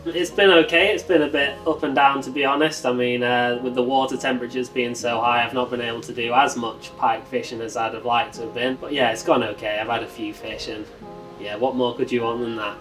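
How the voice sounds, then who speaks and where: A man from Northwest England answering interview questions, relaxed and candid. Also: mid-range voice, fairly quick. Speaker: angler competitor. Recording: distant mic, faint music behind.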